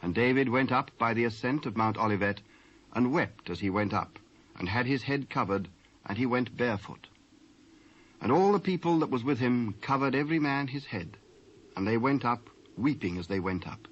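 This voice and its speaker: A British man narrating scripture in a solemn, measured, scripted style. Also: medium pitch, expressive. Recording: dull lo-fi cassette sound.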